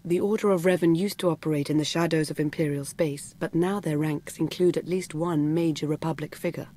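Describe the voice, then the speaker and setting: An actress playing a cool, composed female intelligence operative in a video game, speaking in a measured, formal, understated way. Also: sits low, fairly even intonation, warm tone. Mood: grave, measured. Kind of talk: scripted video game cutscene acting.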